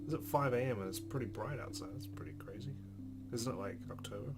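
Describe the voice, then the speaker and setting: Male let's play host, medium pitch, narrated reading, casual asides, prominent background music. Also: fast talker. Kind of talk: reading game text aloud with casual asides. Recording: clear game music behind.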